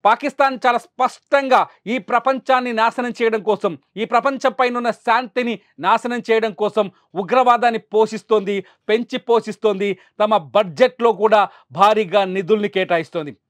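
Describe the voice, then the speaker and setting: A man's voice: sits high, moderate pace, fairly bright tone, dry recording. A man presenting news commentary emphatically, repeating phrases for rhetorical weight.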